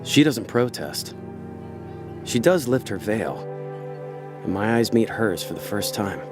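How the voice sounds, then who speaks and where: A man's voice: lowish voice, sing-song, measured pace. An actor playing a laid-back, cynical con man in a hardboiled noir radio play, with a wry, slangy, world-weary delivery. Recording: radio drama with music underneath. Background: prominent background music.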